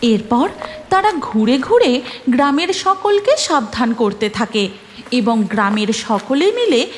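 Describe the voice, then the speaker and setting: A woman narrating a scripted cartoon story in an expressive, deliberate storyteller's manner. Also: a little high. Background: music.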